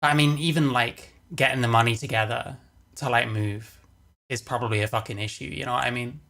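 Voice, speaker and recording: Bright-voiced man with a creaky edge. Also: medium pitch, fairly even intonation, fairly quick. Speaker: streamer reacting to another stream. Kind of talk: casual live commentary.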